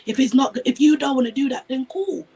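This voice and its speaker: A woman's voice: high-pitched, a little fast, dark tone, husky. A Barbadian woman on a panel call, firm and questioning, stating her view matter-of-factly. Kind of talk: heated panel debate.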